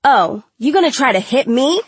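A defiant teenage bully girl, voiced by a woman narrator for an audiobook. She is high and shrill, with slangy, whiny accusations and a hot temper. The sound is dry and close. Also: big pitch swings, normal speed, breathy edge, dry recording, full character voice. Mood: menacing.

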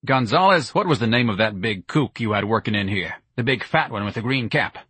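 A man's voice: lowish voice, expressive, a little fast, a bit bright, husky, no room sound. A man voicing an irritable, exasperated male business owner who is curt and demanding.

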